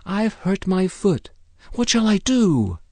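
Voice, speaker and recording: A man's voice: sits high, big pitch swings, slow, no echo, voice pushed up, heavily acted. A man voicing a hurt, rueful young woman. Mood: pained, rueful. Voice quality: soft, pained.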